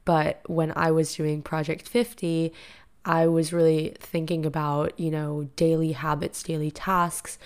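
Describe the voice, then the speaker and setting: A young woman talks through her own solo podcast from her living room, chatty and full of fillers. Her pitch sits on the low side, and her voice is raspy and a touch deeper from just having woken up. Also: level tone, unhurried, a little mellow. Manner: chatty, rambling, filler-heavy. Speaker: podcast host. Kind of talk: casual solo podcast monologue.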